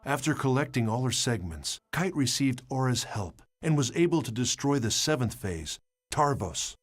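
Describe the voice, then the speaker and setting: A man giving scripted, dramatic narration of a game story in a measured, solemn manner. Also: mid-range voice, lively intonation. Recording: music under the voice.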